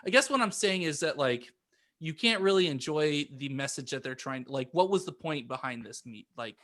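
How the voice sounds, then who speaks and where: Guy on a podcast, sharp bright voice a bit on the high side, rambles and cuts himself off. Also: lively intonation, moderate pace. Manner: rambling, self-interrupting. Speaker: podcast co-host. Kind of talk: casual chat.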